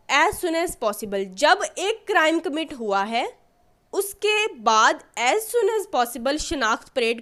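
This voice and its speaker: A woman with a Pakistani accent giving an explanatory lecture, teaching in a didactic way and often restating her points. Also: high-pitched. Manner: didactic, repeats and rephrases points. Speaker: law lecturer. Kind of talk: explanatory lecture mixing Urdu and English terms.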